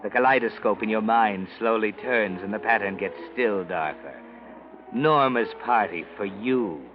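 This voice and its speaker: An actor playing a sinister, all-knowing storyteller in a 1940s radio mystery, narrating in an ominous, deliberate, dramatic way. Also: male voice, on the low side, lightly acted. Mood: dark, insinuating. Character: sinister, omniscient male storyteller who walks by night. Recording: dull 1940s radio recording. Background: loud dramatic music.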